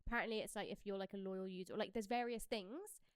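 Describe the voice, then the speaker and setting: A British woman chats quickly and expressively as a podcast co-host. Her voice is clear and smooth, recorded close and dry, in an easy conversational style. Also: medium pitch, fairly even intonation, no room sound. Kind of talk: casual pop culture chat.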